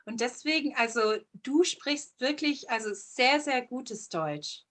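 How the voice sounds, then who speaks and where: Lady host, really high voice, asks upbeat questions. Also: animated, takes it slowly, fairly bright tone. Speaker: podcast host. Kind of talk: casual interview questions.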